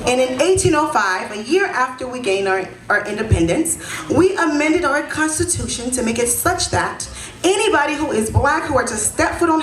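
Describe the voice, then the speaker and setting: A woman in her thirties, born in Haiti and raised in Florida, hosting a community heritage event and speaking to the crowd in a conversational way, often asking "right?". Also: fairly high pitch. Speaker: event host and senior advisor. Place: event hall. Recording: echoey hall.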